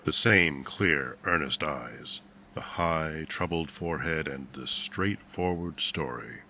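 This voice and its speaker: An actor playing a grave newspaper reporter, narrating a 1950s true-crime radio drama. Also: male voice, rumbling low, slow pace, bright, forceful tone, a little hiss or hum, exaggerated voice. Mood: grave, measured.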